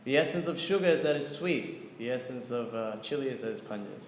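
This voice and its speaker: A man gives a scripture class, explaining patiently and turning to his listeners with questions. His voice sits at an ordinary middle pitch. It rings through a large, echoey hall with a long reverb tail and faint room ambience behind it. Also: expressive, brisk, slight background noise. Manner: earnest teaching, asks audience questions. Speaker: lecturer on scripture. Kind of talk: devotional class with chanting.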